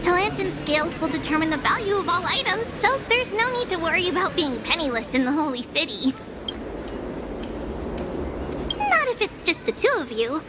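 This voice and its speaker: A woman's voice: very high voice, big pitch swings, a little fast, few pauses, noisy background. A woman voicing a cheerful, helpful little girl priestess in a video game, chatty and playful. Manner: bubbly, exclaiming, speaks of herself as we. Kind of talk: video game character dialogue. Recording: game music under the voice.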